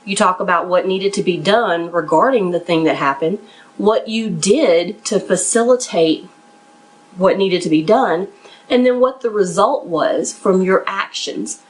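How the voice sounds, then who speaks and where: A woman's voice: on the low side, unhurried, low-quality recording. A woman giving conversational, instructional advice as an interview coach, speaking plainly and directly to listeners in an encouraging, slightly nervous way. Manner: somewhat nervous. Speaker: professional resume writer and interview coach hosting a podcast.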